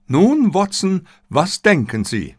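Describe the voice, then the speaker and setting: A man reading the part of a confident, gently mocking detective with an assured, lecturing manner in an audiobook. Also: sits high, huge pitch swings, takes it slowly, breathy edge, no room sound, studio quality, voice pushed up, full character voice. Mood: brisk, assured.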